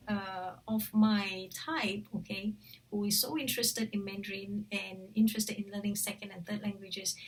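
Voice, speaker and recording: A woman's voice: medium pitch, distant mic, low-quality recording. Singaporean woman coaching, slightly off-mic. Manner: chatty, with frequent fillers and restarts. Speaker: language coach. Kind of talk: casual talk to camera.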